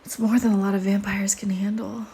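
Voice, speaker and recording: A woman voicing a flirty, teasing, predatory female vampire in an intimate audio roleplay, with playful banter and breathy murmurs. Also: medium pitch, little pitch movement, brisk, mellow, a little hiss or hum, close to an everyday voice. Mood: seductive, hungry.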